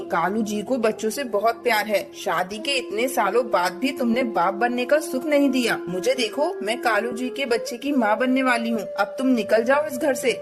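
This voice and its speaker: A woman plays a brazen cartoon bird, a scheming rival wife. Her voice is fairly high, her manner haughty and taunting, with music behind her. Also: lightly acted.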